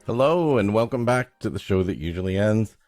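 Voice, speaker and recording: A man's voice: lowish voice, animated, a little fast, darkish tone, faint music behind. A man hosting a podcast with comedic banter and story introductions, playfully mock-dramatic in his delivery. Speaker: podcast host.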